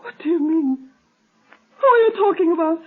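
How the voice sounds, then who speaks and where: A woman's voice: very high voice, lively intonation, moderate pace. An actress playing a distraught young woman grieving her father in a 1930s radio drama, tearful and pleading. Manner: pleading, shaken. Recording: tinny lo-fi 1930s radio broadcast.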